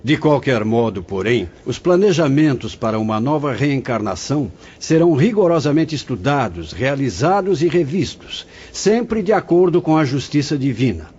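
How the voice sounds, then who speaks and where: A man playing a husky, paternal spirit director. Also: mid-range voice, normal speed, few pauses. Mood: solemn. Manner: calm, solemn, instructive. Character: venerable male spirit director, gentle and paternal. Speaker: actor in audio drama. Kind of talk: radio drama acting. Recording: dull lo-fi audio.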